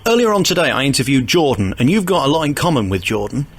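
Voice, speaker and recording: A man's voice: mid-range voice, sing-song, fast, breathy edge. A man hosting a radio interview, asking blunt, cheeky and teasing questions in a casual way.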